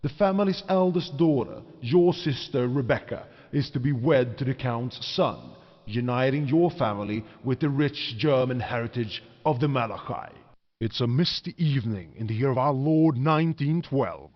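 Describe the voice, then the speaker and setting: A solemn male storyteller played by an actor narrates a gothic horror game with theatrical, ominous weight. His voice sits at a medium pitch. The recording is close and dry, with the dulled top end of compressed, lo-fi game audio. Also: lively intonation, moderate pace, no room sound, close to an everyday voice. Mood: grave, ominous. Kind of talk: dramatic scripted second-person storytelling narration.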